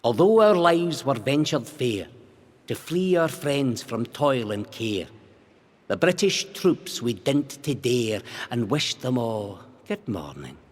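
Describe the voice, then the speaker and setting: A Scottish man voicing a defiant condemned rebel weaver, reciting a ballad in slow, drawn-out rhythm. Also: mid-range voice, big pitch swings. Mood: proud, defiant. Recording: long hall-like reverb.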